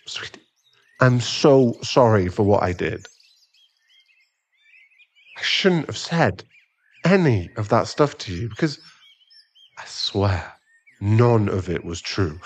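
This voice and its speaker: Man acting a slow, remorseful sugar-daddy boyfriend. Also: medium pitch. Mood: tender, apologetic. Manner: hesitant, stammering, earnest. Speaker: audio roleplay voice actor. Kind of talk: ASMR boyfriend roleplay acting.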